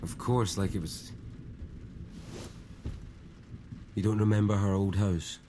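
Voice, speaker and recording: An actor playing a nostalgic brother who coaxes out shared memories, in naturalistic audio drama. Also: male voice, on the low side, fairly even intonation, brisk, background noise, natural voice. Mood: wistful.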